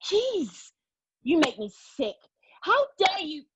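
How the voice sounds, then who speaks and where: An actress in a table read of a play, playing an agitated, defiant, outspoken woman who speaks haltingly and emotionally. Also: very high voice, big pitch swings, fairly bright tone, no echo, lo-fi sound, exaggerated voice. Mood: outraged. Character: defiant, agitated woman arguing with a machine, giving personal testimony.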